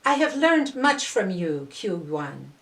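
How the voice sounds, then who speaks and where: A woman voicing a curious, tender sea dragon in mermaid form, speaking in a gentle, questioning way for a storybook. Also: medium pitch, very animated, takes it slowly, gravelly, far from the mic, exaggerated voice. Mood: tender, earnest. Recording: roomy, echoing voice recording.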